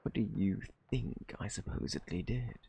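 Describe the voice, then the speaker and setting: Man acting a gravelly, flirty British thief. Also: lowish voice, expressive, mellow, a little hiss or hum. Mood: smug, challenging. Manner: playful, taunting, drawn-out theatrical delivery. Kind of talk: ASMR roleplay, intimate scripted monologue.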